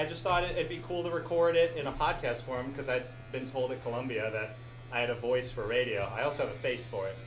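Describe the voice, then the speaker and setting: A young man in his twenties hosting a live show, rambling and laughing often as he answers questions and thanks the crowd. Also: on the high side. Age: 20s. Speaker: podcast host. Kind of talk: live show Q&A and farewell speech. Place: live show venue with audience. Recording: echoey room, loud background noise.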